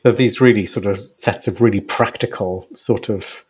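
A man's voice: fairly low pitch, fairly even intonation, normal speed, a little mellow, breathy edge. A man interviewing a guest conversationally, feeling his way through questions with hesitant, circling phrasing.

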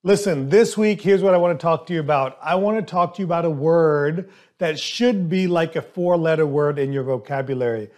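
A man who speaks English as a second language, hosting a solo podcast as a dentist and coaching his listeners in an emphatic, animated way. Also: a little high, a little fast, hardly any pauses. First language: not English.

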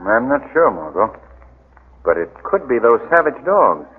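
A man playing a composed, inquisitive gentleman sleuth, measured and polite, in 1940s radio drama style. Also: medium pitch, very animated. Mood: composed, probing. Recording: tinny, hissy 1940s radio, long reverb.

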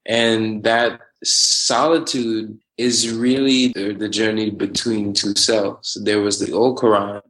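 A man in his twenties from Trinidad and Tobago, talking casually as a podcast guest, animated and quick to laugh. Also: on the low side, low-quality recording. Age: 20s. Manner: animated, laughs often, spiritual slang-heavy talk. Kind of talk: casual conversational interview.

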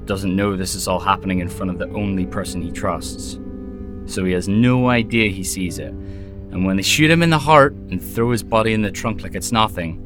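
An actor playing a jaded, wry mercenary haunted by lost love, speaking in a sardonic, conversational audio drama style. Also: male voice, deep voice, normal speed, natural voice. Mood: bitter, grieving. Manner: sardonic, storytelling about himself in third person. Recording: music behind the voice.

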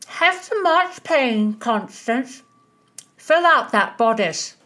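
A woman voicing a greedy, plump young lady-in-waiting with a high, drawn-out whine in an audiobook. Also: lively intonation, pushed and bright, exaggerated voice. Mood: teasing.

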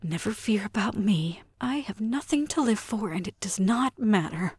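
A man voicing a proud, desperate and quietly defiant noblewoman in an audiobook, with a composed, breathy delivery. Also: high voice, expressive, normal speed, no echo, clean studio sound, pitched above the performer's own voice. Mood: calm, resigned. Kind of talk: audiobook character voice.

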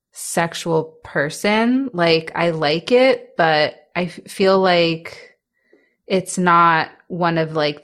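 A young woman in her twenties who stutters, speaking candidly as an interviewee with restarts, repeated sounds and light laughs. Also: on the low side. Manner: soft-spoken, laughs between thoughts.

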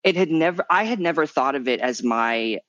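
A man in his thirties from Missouri with a light, higher voice, talking candidly as an interview guest and often swooping up in pitch when animated. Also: a little fast, no echo, highs cut off. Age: 30s. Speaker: podcast guest, memoir author.

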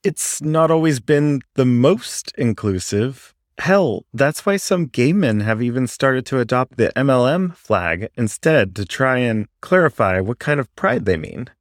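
A man's voice: medium pitch, sing-song, a little slow, hardly any pauses, no echo, clean studio sound. A man voicing a mild, eager young male raccoon student in a story, casual and tentative.

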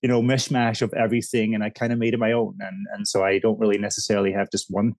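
A man from the Netherlands with a Dutch accent, chatting as a podcast guest, talking haltingly with lots of restarts and fillers. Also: on the low side, level tone, darkish tone, no room sound. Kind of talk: casual interview chat.

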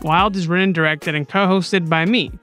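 American podcast co-host reading over soft music. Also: female voice, lowish voice, fairly even intonation, normal speed. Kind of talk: scripted podcast credits.

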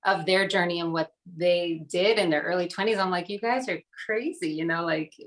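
A woman from New Jersey, a guest in a casual interview, talking in a relaxed, reflective way with many fillers. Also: lowish voice, normal speed.

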